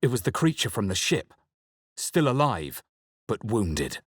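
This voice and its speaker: A man playing a brooding adventurer who narrates a fantasy video game in the first person, solemn, deliberate and literary. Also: lowish voice, very animated, breathy edge, no echo, studio quality, heavily acted. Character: brooding male adventurer narrating his own dark memories. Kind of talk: dramatic scripted fantasy narration.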